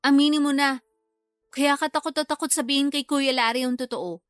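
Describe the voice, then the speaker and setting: Blunt younger sister, Filipino, high voice, scolding, dry studio sound, radio drama. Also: female voice, fairly even intonation, a little fast, a bit bright, no room sound, faint music behind. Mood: scolding, accusing. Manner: scolding, emphatic. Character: blunt, accusing younger sister in a family quarrel.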